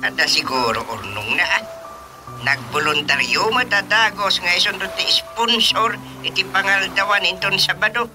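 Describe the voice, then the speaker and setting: A man's voice: high voice, lively intonation, moderate pace, over music. A man with an Ilocano accent playing a folksy, talkative village chairman in a radio drama comedy. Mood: businesslike, friendly.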